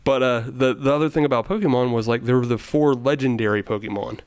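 A man of middle pitch chatting loosely on a beer podcast. He jokes, laughs a lot and trails off into fillers. His voice sits close and dry, with no room sound. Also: quick pace, a little mellow, no room sound. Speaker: podcast co-host. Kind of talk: casual chat.

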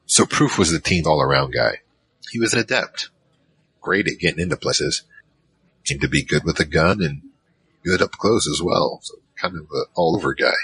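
A man's voice: very deep voice, animated, moderate pace, a bit bright, exaggerated voice, rough recording. A man playing an easygoing, nerdy troll mage who narrates his own story in a casual, rambling, slangy way for an audio drama. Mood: wry reminiscing. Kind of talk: conversational first-person drama narration.

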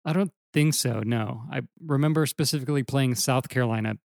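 A slightly hoarse man co-hosting a podcast, laid-back and rambling in casual chat. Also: medium pitch, fairly quick, a little mellow, no room sound, studio quality. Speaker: podcast co-host and musician.

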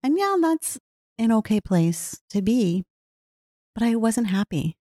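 A woman speaking in an earnest, heartfelt way, reflecting slowly on her own life. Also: on the high side, sing-song, mellow, dry recording, studio quality. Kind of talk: reflective personal talk.

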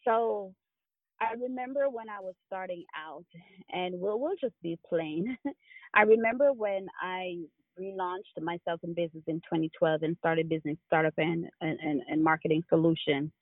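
A woman from Jamaica with a Jamaican accent, telling stories as a guest in a casual interview, with quick laughs. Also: fairly low pitch, no echo. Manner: animated storyteller, quick laughs. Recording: tinny phone line.

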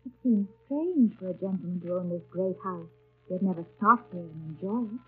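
Composed young governess, tinny old radio. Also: female voice, fairly low pitch, expressive, husky, faint music behind. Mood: polite curiosity. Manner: formal, measured, literary diction. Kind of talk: 1930s radio drama acting and narration. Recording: tinny, band-limited 1930s radio broadcast.

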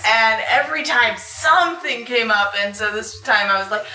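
A woman's voice: fairly high pitch, moderate pace, pushed and bright. A woman chatting casually and jokingly as a panelist at a live convention session. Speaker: panelist, voice actor. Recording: roomy, distant mic, noisy background.

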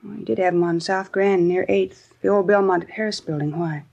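An actress playing a polite, puzzled former secretary in a 1950s radio drama, with a husky, creaky voice. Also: female voice, on the low side, fairly even intonation, moderate pace, a little mellow, dull audio.

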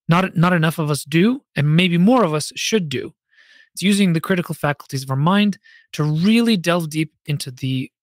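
A man with a fairly high-pitched voice hosts a podcast in a very dry recording. He delivers a prepared monologue with conversational asides, addressing listeners directly in an expressive storytelling manner. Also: no echo. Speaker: podcast host and fantasy author.

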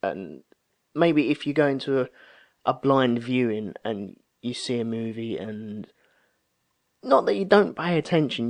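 A man in his thirties chatting casually and telling anecdotes, acting out the remarks he quotes. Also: medium pitch. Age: 30s. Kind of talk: casual chat, storytelling.

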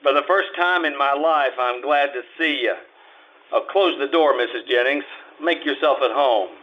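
A man's voice: medium pitch, normal speed, close to an everyday voice. A man voices a hard-boiled private eye in an old-time radio play, trading dry, sardonic wisecracks. The recording sounds muffled and lo-fi.

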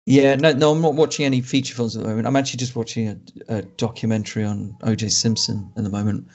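A man co-hosting a film podcast, chatting in a relaxed, wry way. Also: medium pitch, fast talker, vocal fry, highs cut off. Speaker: podcast co-host. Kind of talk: casual chat.

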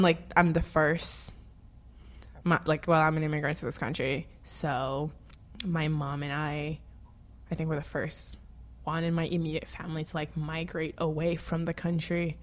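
A woman from Haiti speaking casually in an interview, rambling with lots of fillers and frequent laughter. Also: low voice.

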